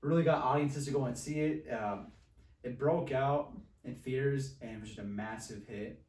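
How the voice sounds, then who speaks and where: A man's voice: mid-range voice, normal speed, darkish tone. A man hosting a podcast, chatting casually about films. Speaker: podcast host. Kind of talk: casual film discussion. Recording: roomy, distant mic, faint noise.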